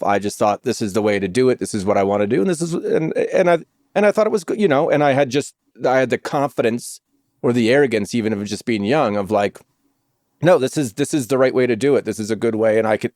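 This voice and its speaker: Animated middle-aged man, dry close sound. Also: mid-range voice, fast talker, dry recording. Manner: animated storyteller who swears freely. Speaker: actor. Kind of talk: casual podcast chat.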